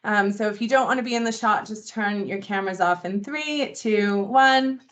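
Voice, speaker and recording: A middle-aged woman with a Boston accent presents a membership induction over a video call. Her delivery is friendly and conversational, with steady ums between points. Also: medium pitch, moderate pace. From Boston, USA. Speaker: membership engagement manager presenting a webinar. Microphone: video call microphone.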